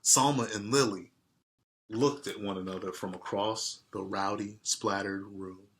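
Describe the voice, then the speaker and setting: A man reading a storybook aloud and speaking directly to his listeners in a deliberate way. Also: on the low side, fairly even intonation. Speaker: read-aloud host. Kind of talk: storybook read-aloud with casual asides to listeners.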